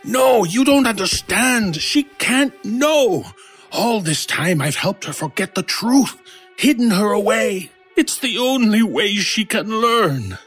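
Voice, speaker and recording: Husky old man, desperately earnest. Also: high voice, animated, takes it slowly, a bit bright, faint music behind, exaggerated voice. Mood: desperate, pleading. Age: older. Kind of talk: old-time radio style horror audio drama acting.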